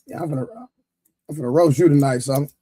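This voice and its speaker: A hoarse man hosting a live panel stream, talking in loose, teasing slang. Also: a little high, quick pace, mellow, no echo. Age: adult with an eleven-year-old son. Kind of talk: casual live-stream banter.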